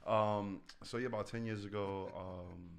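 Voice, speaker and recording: Guy with a really deep voice, loud and booming, laid back and slangy, animated when he tells a story. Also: unhurried. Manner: voice booms and pops in the room, animated storyteller. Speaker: guest, edibles business owner. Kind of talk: casual podcast interview.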